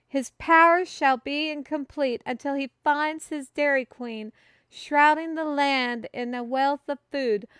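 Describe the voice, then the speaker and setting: A woman playing an excitable, earnest kingdom messenger in a fantasy audio roleplay, with theatrical proclaiming and formal old-fashioned wording. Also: sits high, a little slow, no room sound, clean studio sound, voice pushed up. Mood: excited, proclaiming. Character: excitable, earnest female kingdom messenger bearing a food prophecy. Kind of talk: fantasy audio roleplay, speaking to one listener.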